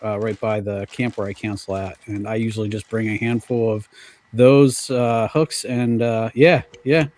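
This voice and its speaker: A man's voice: fairly low pitch, steady tone, moderate pace, darkish tone. An American man from Pennsylvania chatting casually as a podcast guest. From United States, Pennsylvania. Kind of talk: casual chat.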